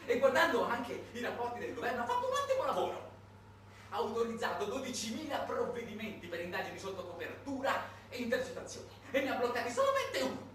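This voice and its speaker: Male satirical stage narrator, ironic and dramatically halting, lively intonation, distant mic in a highly reverberant room. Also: slight background noise. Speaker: monologue actor and narrator. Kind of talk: satirical theatrical monologue. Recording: very echoey room, recorded from afar.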